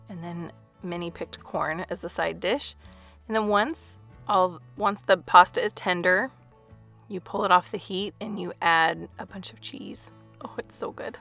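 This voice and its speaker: Chatty, slightly gravelly mom vlogger. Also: female voice, fairly low pitch, unhurried, fairly bright tone, soft background music. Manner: chatty, with light laughs. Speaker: family vlogger. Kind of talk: casual cooking vlog voice-over.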